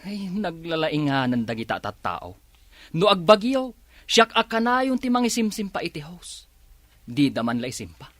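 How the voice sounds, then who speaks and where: A man's voice: fairly high pitch, sing-song, normal speed, fairly bright tone, dry recording. A man playing a stubborn, self-righteous husband in a radio drama, argumentative and boastful. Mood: boastful, indignant.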